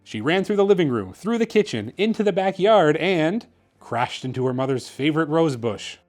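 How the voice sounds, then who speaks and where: Higher-voiced man reading a children's storybook. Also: animated, moderate pace, faint music behind. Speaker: host reading children's books aloud. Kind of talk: children's bedtime storybook narration.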